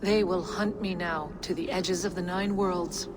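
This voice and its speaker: A banished giantess sorceress , acted by a woman, speaks with grave, formal solemnity. Her pace is slow and measured, weighted with grief for her husband. It is video game drama, with clear ambient noise behind the voice. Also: on the low side, steady tone, close to an everyday voice. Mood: solemn, wounded.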